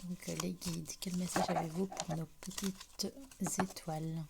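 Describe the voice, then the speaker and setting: Hoarse, half-whispering woman reading cards. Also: lowish voice, a little slow. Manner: soft, half-whispered, calm. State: hoarse with a cold. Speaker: tarot card reader. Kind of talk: slow card reading guidance. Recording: loud background noise.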